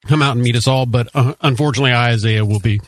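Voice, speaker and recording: A man's voice: mid-range voice, very fast. A man hosting a call-in radio talk show in the studio, upbeat and chatty. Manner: upbeat, chatty, reads promos. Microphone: studio mic.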